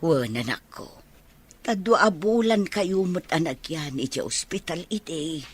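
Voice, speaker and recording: An actress playing a worried, devout older village mother in an Ilocano radio drama, with an Ilocano accent and a husky voice. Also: low voice, animated, a little slow, heavily acted. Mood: motherly concern.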